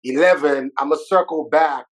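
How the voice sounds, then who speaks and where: Haitian-accented man, slightly high voice. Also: normal speed. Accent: Haitian with New York City mixed in. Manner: jokey, self-deprecating, lively storytelling. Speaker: podcast host. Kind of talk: casual interview chat.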